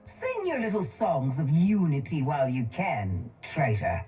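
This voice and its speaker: An actress playing a cold, calculating older crime-boss chemist in a video game, speaking in a measured, menacing, condescending way. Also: female voice, low voice, sing-song, warm tone, faint music behind. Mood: menacing command. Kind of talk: video game character voice lines.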